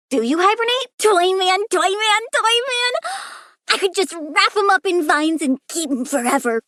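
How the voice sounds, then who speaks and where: A girlish plant supervillain from a video game, pitched very high and swooping freely between chirpy delight and sulky threat. She is perky, odd and cheerfully menacing, and the recording is close and dry. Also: dry recording, exaggerated voice. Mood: excited, playful. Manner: cutesy, playful, swinging from chirpy excitement to sulky menace. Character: childlike plant-girl supervillain, perky, eccentric, gleefully menacing. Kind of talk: video game character voice lines.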